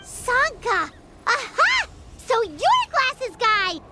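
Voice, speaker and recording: A woman's voice: very high-pitched, wildly swooping pitch, slow, heavily acted. A woman voicing a small, childlike fairy companion in a video game, perky and easily indignant. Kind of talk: video game character acting. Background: game music.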